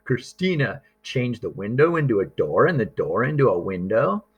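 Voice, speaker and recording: A man reading a picture book aloud to young children, deliberate and expressive, drawing out words and pausing for drama. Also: mid-range voice, husky, faint music behind.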